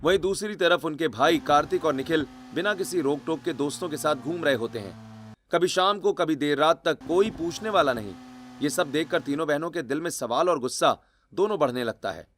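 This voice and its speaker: A man's voice: on the high side, lively intonation, a little fast, fairly bright tone, slight background noise. A man reading out scripted story narration.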